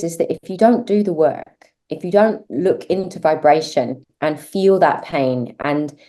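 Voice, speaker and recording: Low, mellow-voiced woman guest. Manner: earnest, reflective, speaks of spirituality with conviction. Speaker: podcast guest, transformation mentor. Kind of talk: reflective interview talk.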